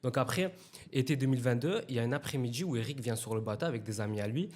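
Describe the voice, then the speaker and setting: A man in his twenties, a guest from Italy, telling a story in a relaxed, slangy way in a casual interview. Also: medium pitch, quick pace. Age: 20s. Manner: relaxed anecdotal telling, slangy, with acted quotes.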